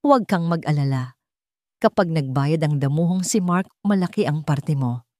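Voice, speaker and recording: A woman's voice: sits low, animated, moderate pace, a little mellow, no echo. Woman playing scheming villainess, Filipino accent, cold, impatient, curt, menacing, audio drama, dry close recording.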